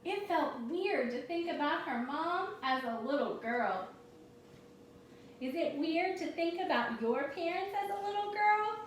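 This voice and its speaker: A woman's voice: very high-pitched, lively intonation, measured pace. A woman reading a storybook aloud to young children as their teacher, expressively, often turning to ask the listeners questions. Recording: very echoey room, distant mic, faint noise.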